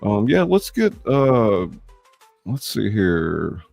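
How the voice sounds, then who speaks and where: A man hosting a live online reading show, organizing things in casual, halting, easygoing chat. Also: fairly low pitch, sing-song, gravelly, darkish tone, faint music behind. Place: live streaming call.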